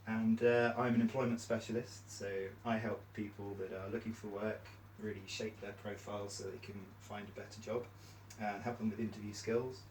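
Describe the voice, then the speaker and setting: A man's voice: on the low side, flat delivery, moderate pace, darkish tone, slight background noise. A man from Cornwall, leading a small training session in an upbeat, encouraging way. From Cornwall, England. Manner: upbeat and encouraging, frequent fillers. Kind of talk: friendly training-session facilitation. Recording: echoey room, mic at a distance.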